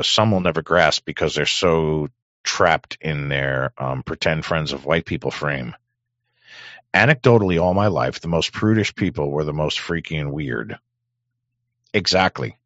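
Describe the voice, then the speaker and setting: A man's voice: extremely low pitch, a bit bright, dry recording, muffled recording. A man giving casual live-stream commentary as he reacts to chat, with wry, sardonic, muttered asides.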